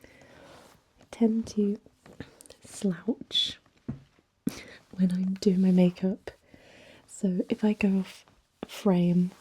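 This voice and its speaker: A young woman talks in a breathy near-whisper, unhurried and halting. The tone is mellow and gentle, with a slight croak from being hoarse. It sounds like a close-up, soft-spoken ASMR makeup chat. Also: medium pitch, fairly even intonation. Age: young adult. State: slightly hoarse after illness. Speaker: ASMR vlogger.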